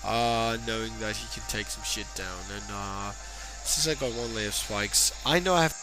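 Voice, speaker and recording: A man's voice: on the low side, moderate pace, poor audio. A tipsy man giving casual, rambling play-by-play commentary on a game, joking and swearing as he goes. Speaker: gameplay commentator. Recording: voice over loud game music. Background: game music.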